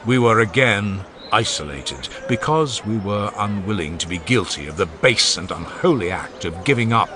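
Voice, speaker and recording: Deep-voiced man declaiming an oration. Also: a bit bright. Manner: measured, declamatory, swelling and falling for emphasis. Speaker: audiobook narrator. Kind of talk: dramatized reading of a classical oration.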